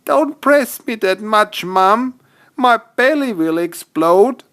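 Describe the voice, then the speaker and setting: A man's voice: sits high, animated, slow pace, fairly bright tone, pitched above the performer's own voice, heavily acted. A man voicing a naughty little kangaroo boy, plaintive and exclaiming, in a children's storybook. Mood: whiny complaining.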